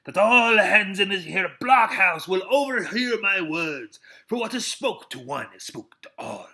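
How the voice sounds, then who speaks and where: A man voicing a crafty, bullying old sea pirate, growling and swaggering in rough sailor talk as in an adventure storybook. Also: high voice, expressive, measured pace, bright, forceful tone, pitched above the performer's own voice, full character voice. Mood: sly, bargaining.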